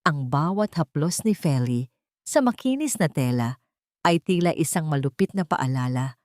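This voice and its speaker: A woman with a slightly low voice narrates a scripted drama. Her delivery is that of a storyteller, and the recording is dry and close. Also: expressive, normal speed, a little mellow, no room sound.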